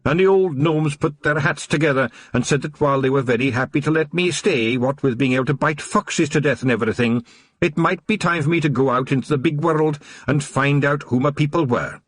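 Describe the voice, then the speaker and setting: A man's voice: fairly high pitch, fairly quick, no echo, pitched above the performer's own voice, close to an everyday voice. A man voicing a tiny Scottish fairy watchman, stubborn, earnest and easily indignant.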